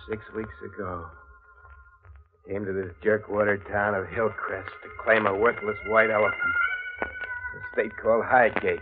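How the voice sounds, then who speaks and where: A man's voice: lowish voice, close to an everyday voice. An actor playing a down-on-his-luck young man in a 1940s radio drama, with a slangy, hard-boiled, brooding delivery. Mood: bitter resignation. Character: down-on-his-luck, wry young male heir telling his tale. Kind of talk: 1940s radio drama acting, first-person narration. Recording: muffled vintage 1940s radio recording. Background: music.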